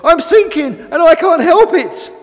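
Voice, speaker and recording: A timid young storybook boat, voiced by a man, innocent and politely naive. The high voice moves up and down a lot and carries a long hall reverb. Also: animated, brisk. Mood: panicked.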